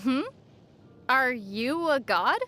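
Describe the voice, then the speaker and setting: Young female game hero, high voice, calm and sensible, bit skeptical, faint music behind. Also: slow, heavily acted. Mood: teasing. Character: young female traveler heroine, calm, sensible, gently teasing. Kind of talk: scripted video game dialogue.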